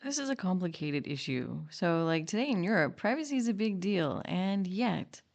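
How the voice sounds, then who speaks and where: A woman's voice: lowish voice, sing-song, moderate pace, gravelly. A woman asking questions and commenting in a casual conversational style, on a slightly dull recording.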